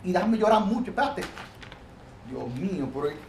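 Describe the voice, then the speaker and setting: A Dominican man with a Dominican Spanish accent and a fairly high voice preaches in a loose, animated way, stumbling and restarting as he goes. The sound is roomy and echoey, with a faint hiss of noise underneath. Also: fairly quick. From Dominican Republic. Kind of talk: informal Bible discussion. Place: New York.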